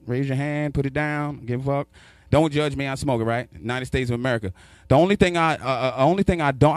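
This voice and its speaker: A man in his thirties from New York doing a stand-up routine into a handheld mic in a small studio, loud and animated, shouting his punchlines. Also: medium pitch, dry recording. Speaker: stand-up comedian. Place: small studio with sponsor backdrop. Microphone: handheld microphone.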